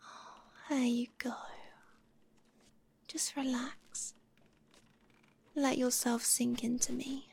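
A young woman whispering softly and breathily into a close microphone in an intimate, doting audio roleplay. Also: on the high side, little pitch movement, slow, gravelly, long pauses, husky.

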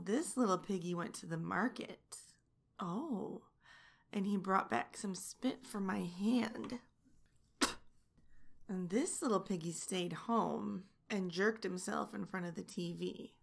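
A young woman playing a sharp-tongued, domineering flight attendant who mocks and taunts. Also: medium pitch, vocal fry. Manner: mocking, teasing taunts. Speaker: voice actor in an audio roleplay. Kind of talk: adult audio roleplay.

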